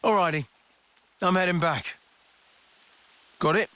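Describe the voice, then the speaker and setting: A man playing an excitable, warm-hearted young soldier in a video game, speaking in a breezy, colloquial way. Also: sits high, slow, clean tone, exaggerated voice.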